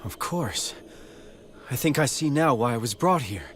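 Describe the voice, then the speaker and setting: A man's voice: medium pitch, lively intonation, unhurried, slight background noise, natural voice. An actor playing a noble, resolute young king in a video game, speaking with earnest heroic conviction. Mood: calm defiance. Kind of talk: dramatic video game voice acting.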